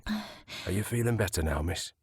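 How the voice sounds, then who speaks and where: A kindly manservant in a period audio drama. His voice is very low and husky, recorded bone dry. He is gentle, reassuring and deferential. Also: moderate pace, no room sound, heavily acted. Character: kindly, reassuring male servant of a moorland house.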